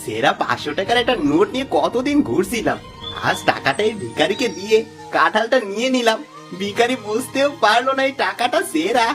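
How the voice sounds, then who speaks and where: A woman's voice: medium pitch, animated, brisk, lightly acted. A woman voicing a greedy, sly village husband in a theatrical, exclaiming cartoon manner. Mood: smug. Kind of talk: Bengali cartoon dubbing. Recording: music under the voice.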